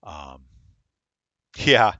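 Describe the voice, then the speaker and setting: A man's voice: low-pitched, very animated, very slow, a bit bright, no room sound, muffled recording. Rambling, self-deprecating middle-aged man. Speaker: stream commentator. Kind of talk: casual game commentary.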